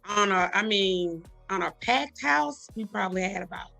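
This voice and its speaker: A woman's voice: mid-range voice, animated, normal speed, vocal fry, pushed and bright, soft background music. A woman minister who is a guest on a podcast, talking casually, often affirming what the host says and laughing easily. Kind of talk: casual chat.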